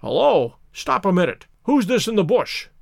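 A man voicing a brisk, commanding gentleman detective in a storybook reading, with a quick, clear, exclamatory delivery. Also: sits high, sing-song, dry recording, clean studio sound, heavily acted. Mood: alert.

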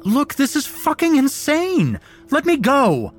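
A man voicing a terrified young male captive, shouting in frantic, high-pitched panic. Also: wildly swooping pitch, slow pace, soft background music, heavily acted. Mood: panicked.

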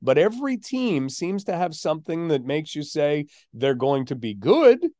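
A man's voice: on the high side, sing-song, normal speed, warm tone, dry recording. A man hosting a sports talk podcast, analysing casually and emphatically, often asking and answering his own questions. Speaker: sports podcast host and play-by-play announcer.

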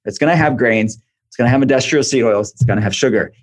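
A man's voice: mid-range voice, quick pace. A man speaking as an expert guest in an interview, explaining health advice informally and conversationally. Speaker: expert guest, functional medicine practitioner and author.

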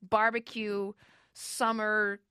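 Bright-voiced American woman hosting radio. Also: mid-range voice, little pitch movement, extremely slow, smooth, no echo, studio quality. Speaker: radio host, interviewer. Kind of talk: conversational radio interview.

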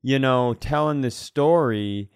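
A man with a clean, resonant voice tells a casual comic story as a podcast host. The recording is dry and close, and he re-enacts moments in an animated, self-mocking way. His pitch sits in the middle. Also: slow pace, smooth, dry recording.

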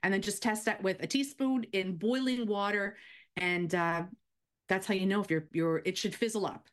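A woman's voice: medium pitch, normal speed. A woman chatting casually as a guest cooking expert, explaining practical baking advice step by step.

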